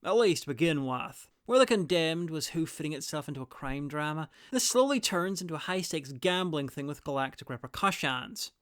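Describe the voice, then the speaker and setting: A man narrates a scripted review with wry, opinionated asides. His voice is fairly high, smooth and crisp, in a very dry recording. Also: lively intonation, normal speed, hardly any pauses, fairly bright tone, no echo. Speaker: review host.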